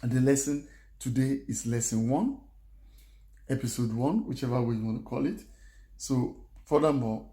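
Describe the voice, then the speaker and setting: A man's voice: medium pitch, steady tone, normal speed, warm tone. Earnest male language teacher, echoey room. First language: Yoruba. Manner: earnest, repetitive, encouraging. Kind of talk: spoken language lesson.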